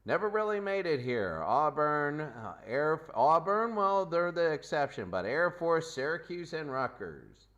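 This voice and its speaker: A man's voice: fairly high pitch, sing-song, unhurried, few pauses, a bit bright, muffled recording. A man hosting a hobby football game, explaining the rules and then calling the plays in an old-time radio announcer style.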